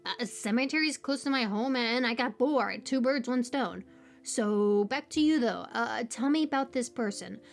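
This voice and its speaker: Woman acting a high-pitched kooky, chatty stranger. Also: moderate pace, creaky, faint music behind. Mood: curious, awkward. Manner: rambling, stammering, playful, slangy banter. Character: hyperactive, chatty, kooky young female cemetery stranger, teasing. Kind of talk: audio roleplay acting, casual direct address to listener.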